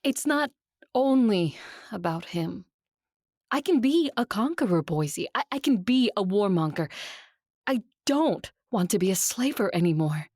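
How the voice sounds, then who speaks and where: A woman's voice: medium pitch, lively intonation, measured pace, darkish tone, no echo, clean studio sound. A woman acting an idealistic, stubborn vampire ruler in an audio drama, earnest and impassioned. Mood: impassioned resolve.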